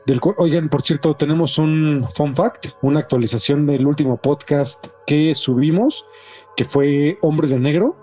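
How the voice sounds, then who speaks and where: Higher-voiced Mexican man hosting, mellow tone. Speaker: podcast host. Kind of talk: casual, animated storytelling. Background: faint music.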